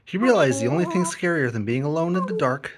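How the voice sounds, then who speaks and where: A man narrating a children's story as an expressive read-along. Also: fairly high pitch, quick pace, a little hiss or hum. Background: music and sound effects.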